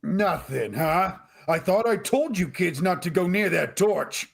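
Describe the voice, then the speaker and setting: A man playing a gruff, suspicious working-class father in a vintage-style radio drama. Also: high-pitched, animated, a little slow, full character voice. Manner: gruff, curt.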